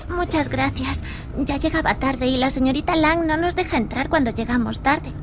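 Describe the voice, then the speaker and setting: A woman as a very high-voiced, timid teenage girl. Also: normal speed, lightly acted. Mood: polite. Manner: pleading, timid. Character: frightened, timid teenage girl, a ballet student. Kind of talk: radio drama acting. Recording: noisy car ambience behind voice.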